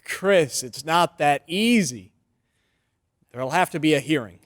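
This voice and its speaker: Casting: sincere, devoted attorney male actor, slightly high pitch, close dry recording, vintage radio acting. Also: animated, measured pace, dry recording. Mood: urgent. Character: earnest, loyal young male lawyer pleading for his friend. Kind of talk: old-time radio drama acting.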